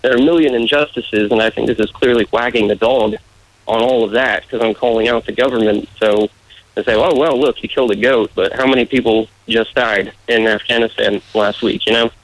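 A man's voice: medium pitch, fairly even intonation, fairly quick, poor audio. A man from the United States with a muddled American accent, talking casually and earnestly as a candidate being interviewed. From United States, raised in various regions.

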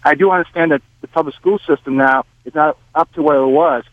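Male caller, raised in Massachusetts, born in Portugal, rapid-fire, tinny phone sound. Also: fairly high pitch, no room sound. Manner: rapid, lists points one by one.

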